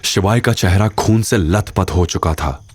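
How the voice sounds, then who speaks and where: Low-voiced man narrating an audio drama. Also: measured pace, a little mellow, no echo, clean studio sound.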